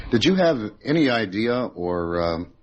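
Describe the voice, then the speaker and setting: A man with an American accent conducting a semi-formal radio interview as a reporter, asking questions in a halting way. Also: on the low side, very animated, muffled recording.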